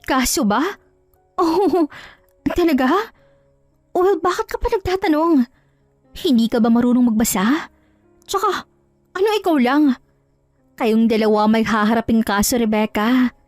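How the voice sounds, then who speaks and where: A woman's voice: sits high, a little slow, long pauses, no room sound, soft background music. A woman voicing a proud, betrayed wife in an audio drama, taunting, sarcastic and coldly vengeful. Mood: scornful, taunting. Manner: taunting, sarcastic, mixes in English.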